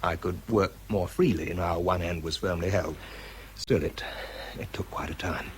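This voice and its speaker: Low-voiced, tense British wartime bomb disposal man played by an actor. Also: normal speed, slight background noise, close to an everyday voice. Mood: shaken, subdued. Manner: clipped reports alternating with quiet, confessional recollection. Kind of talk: radio drama acting, first-person narration and spoken report.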